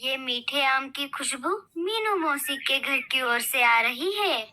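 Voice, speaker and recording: Woman as bright, nosy sweet-talking bird. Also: high-pitched, lively intonation, takes it slowly, exaggerated voice. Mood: curious. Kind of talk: children's cartoon voice acting. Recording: noticeable background noise.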